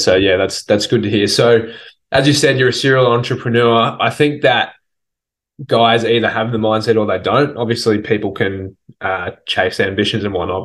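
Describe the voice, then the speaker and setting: Laid-back podcast host. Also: male voice, on the low side. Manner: laid-back and matey, says dude and man. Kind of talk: casual interview hosting.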